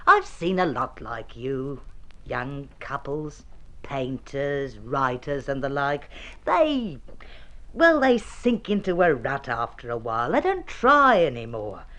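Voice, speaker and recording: A woman's voice: extremely low pitch, very animated, slow, a bit bright, exaggerated voice. Practical, no-nonsense older landlady, Australian radio play; brusque lecturing, strongly inflected. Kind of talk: mid-century radio drama acting.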